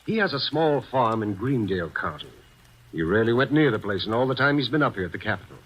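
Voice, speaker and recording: A man's voice: mid-range voice, lively intonation, fairly bright tone, husky, rough recording. A man playing an earnest, respectful investigator reporting to his superior in an old radio drama. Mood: serious. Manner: respectful, brisk. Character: earnest male state investigator. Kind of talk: 1940s radio acting.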